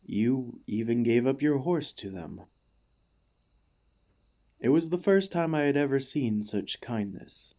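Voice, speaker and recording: This is a devoted warforged ex-gladiator played by a man in an ASMR roleplay. The voice is soft-edged and mellow, and the words come slowly and haltingly. The construct speaks with formal courtesy and earnest, easily stirred feeling. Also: mid-range voice, expressive. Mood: earnest, admiring. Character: emotional, excitable warforged ex-gladiator, gentle and devoted.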